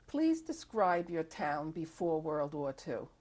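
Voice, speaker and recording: Husky low-voiced woman interviewer. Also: very animated, a little mellow. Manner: measured and formal. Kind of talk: formal interview questions.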